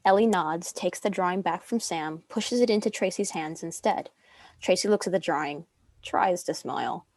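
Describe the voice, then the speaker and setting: A woman's voice: lowish voice, moderate pace, a little mellow. A young woman reading screenplay stage directions as a narrator. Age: young adult.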